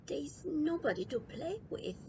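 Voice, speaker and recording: A woman's voice: high-pitched, sing-song, a little slow, lo-fi sound, noisy background, pitched above the performer's own voice. Woman reading as a sulky, grumbling child. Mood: complaining. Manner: grumbling, mumbling. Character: sulky, complaining child unhappy about summer away.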